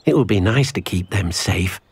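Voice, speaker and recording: An actor playing a gentle, earnest, small elderly house-elf servant in a video game, who speaks of himself in the third person. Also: male voice, deep voice, animated, normal speed, slightly rough, no echo, full character voice. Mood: helpful. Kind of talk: scripted video game character acting.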